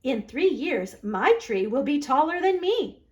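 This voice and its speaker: A woman's voice: high voice, very animated, a little slow, pitched above the performer's own voice. A woman voicing a young female beaver in a children's storybook, a matter-of-fact know-it-all who explains things confidently. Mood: proud. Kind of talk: children's storybook read-aloud.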